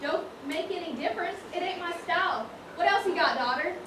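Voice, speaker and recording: A woman's voice: extremely high pitch, expressive, a little fast. A woman playing a brash, suspicious mature customer with blunt, folksy speech in an amateur stage comedy. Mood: bossy, blunt. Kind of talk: amateur one-act stage comedy acting. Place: stage in a large echoey room. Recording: echoey stage, noisy, far-off mic.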